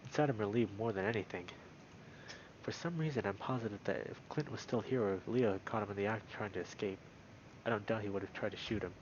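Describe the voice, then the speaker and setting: A man reading a visual novel aloud as a let's-play host, in an expressive, dramatic storytelling style. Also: lowish voice, fast talker, muffled recording, slight background noise. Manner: dramatic, with loud reactions. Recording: music behind the voice. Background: game music.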